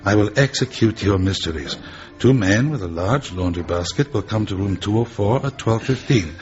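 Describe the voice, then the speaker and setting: An actor playing a jaunty, courteous French secret service officer with a French accent in a radio drama. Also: male voice, on the low side, animated, normal speed, highs cut off, close to an everyday voice. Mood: brisk confidence.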